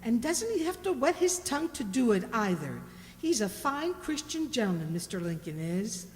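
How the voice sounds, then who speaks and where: A woman playing a protective, gently firm country mother in a radio drama, measured and admonishing. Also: fairly high pitch, very animated, slight background noise. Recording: hall-like long reverb.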